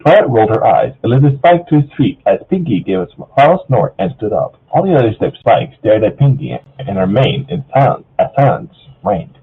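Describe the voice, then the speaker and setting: A man narrates a story in a casual, lively way, often tripping over words and starting again. His voice is very rough and husky. The sound is narrow and muffled, like a low-quality voice chat. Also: brisk, few pauses. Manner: stumbles over words, often repeats phrases. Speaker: story reader. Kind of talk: casual narration of fan fiction. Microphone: online voice call.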